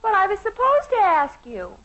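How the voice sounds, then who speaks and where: An American actress playing an earnest, insistent teenage daughter in a 1950s radio sitcom. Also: female voice, very high voice, huge pitch swings, moderate pace, a bit bright, heavily acted. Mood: eager, nervous. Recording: muffled old radio recording, faint noise.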